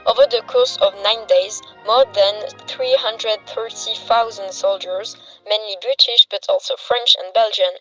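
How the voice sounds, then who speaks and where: A woman's voice: mid-range voice, fairly bright tone, poor audio. Woman narrating a scripted newsreel over music. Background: background music.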